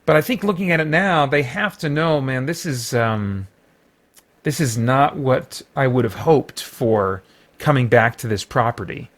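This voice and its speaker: A man's voice: mid-range voice, lively intonation, measured pace, a bit bright. A middle-aged man giving a casual, unscripted review as host, in a hesitant, rambling way with frequent backtracking.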